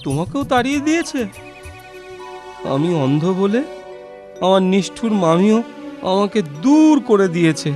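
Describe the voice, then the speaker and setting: High-voiced, plaintive blind young man. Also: very animated, darkish tone, faint music behind. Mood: weary, sorrowful. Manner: plaintive, pleading, halting.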